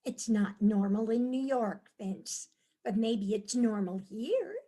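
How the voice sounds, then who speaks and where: A woman's voice: on the high side, slow pace. A woman playing a cheerful New York wife charmed by the countryside, chatty and dreamy, in a staged reading of a comic play. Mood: curious. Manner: chatty, dreamy, easily excited.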